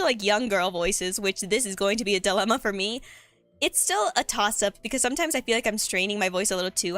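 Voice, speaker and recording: A woman streamer speaks in a fairly high, slightly cracky voice. She works through her writing aloud in a casual, halting way, repeating words as she goes. The sound is dry and close, with faint music behind her. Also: a bit bright, no echo. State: voice a bit cracky. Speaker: streamer and writer. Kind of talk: casual livestream chat while writing a script aloud. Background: background music.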